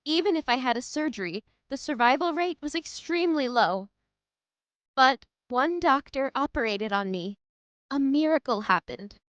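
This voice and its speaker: A woman's voice: sits high, lively intonation, moderate pace, fairly bright tone, dry recording, dull audio. A woman voicing a frugal, frank young female student in a manga dub, with a sweet, polite and matter-of-fact way of talking. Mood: earnest. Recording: music behind the voice. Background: background music.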